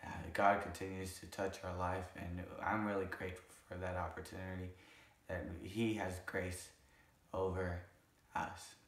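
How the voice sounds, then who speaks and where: A hoarse man in his twenties, talking earnestly in a casual vlog recap, rambling and correcting himself as he goes. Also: deep voice, level tone, a little slow, reverberant. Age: 20s. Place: living room couch, indoors.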